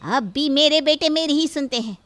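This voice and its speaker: A woman's voice: sits high, moderate pace, fairly bright tone. A woman playing a domineering, greedy middle-aged mother-in-law in a cartoon story, haughty and threatening as she scolds. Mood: defensive, indignant. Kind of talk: Hindi cartoon story acting.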